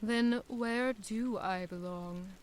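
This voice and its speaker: A woman's voice: on the high side, slow. A woman playing a wary, exiled goddess in an audio drama, speaking in terse, guarded phrases. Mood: forlorn.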